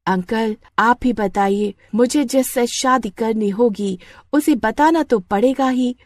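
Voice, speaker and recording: A woman's voice: a little high, slow pace, no room sound, studio quality. A woman voicing a cheerful, earnest young English bride who mixes English phrases into her Hindi in a moral story. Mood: earnest, hopeful. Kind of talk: animated moral story dialogue.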